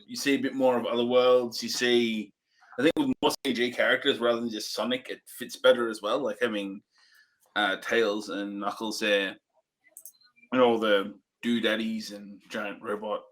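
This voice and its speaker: A man's voice: medium pitch, fairly even intonation, normal speed, fairly bright tone, poor audio. A man co-hosting a casual movie review, giving loose, hesitant opinions with frequent ums and restarts. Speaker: co-host.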